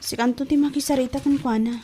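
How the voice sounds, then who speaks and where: Woman acting a high-voiced young Ilocano daughter. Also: steady tone, quick pace, warm tone, slight background noise, natural voice. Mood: complaining. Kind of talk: audio drama acting.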